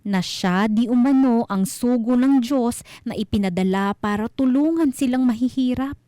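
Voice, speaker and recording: A woman narrating a scripted horror story for radio, with deliberate, suspenseful phrasing. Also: on the high side, warm tone, dry recording, clean studio sound.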